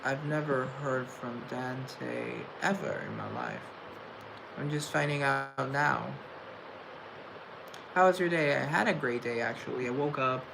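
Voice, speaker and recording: A young man talks on a casual livestream in a mid-pitched voice, halting and restarting his words as he stutters through reflective chat. A clear hiss of background noise sits under his voice, picked up by a phone or webcam mic. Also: animated. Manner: reflective and chatty. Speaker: livestream host. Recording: noisy room tone.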